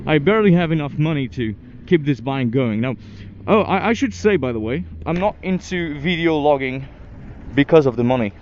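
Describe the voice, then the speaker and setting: A young Frenchman with a French accent chatting casually while riding his motorcycle through city traffic, halting and full of restarts. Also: on the high side, animated, a little mellow. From France. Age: young adult. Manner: halting, many restarts and fillers, swears at traffic. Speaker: motorcycle vlogger. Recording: dull lo-fi helmet mic with faint traffic noise.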